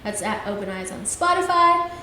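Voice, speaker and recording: A woman's voice: medium pitch, sing-song, moderate pace, pushed and bright. A young woman in her twenties talks alone on her podcast, earnest and loosely rambling, with lines that trail off into filler phrases. Her voice sits in a very echoey room, with a faint hiss underneath. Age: 20s. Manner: earnest, rambling, frequent "things like that". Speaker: podcast host. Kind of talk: casual solo podcast talk.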